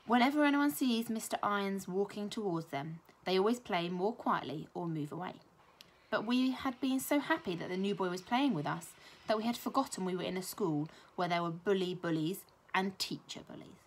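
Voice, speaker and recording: A British woman, a primary school teacher, reading a children's story aloud with expressive narration. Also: mid-range voice, moderate pace, poor audio.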